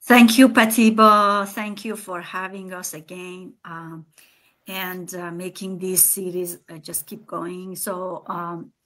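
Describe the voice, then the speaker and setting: A woman from Afghanistan with an Afghan accent, co-hosting a livestream and introducing herself in a hesitant, halting way. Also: on the low side, fairly even intonation, a bit bright. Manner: hesitant, halting delivery with frequent pauses. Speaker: co-host, engineer. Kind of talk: casual self-introduction on a livestream.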